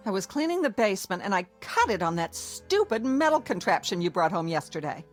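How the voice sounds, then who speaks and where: A woman's voice: mid-range voice, sing-song, brisk, soft background music, close to an everyday voice. A woman playing a domineering, bigoted, nagging wife in a radio drama, scolding and sarcastic. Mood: irritated scolding.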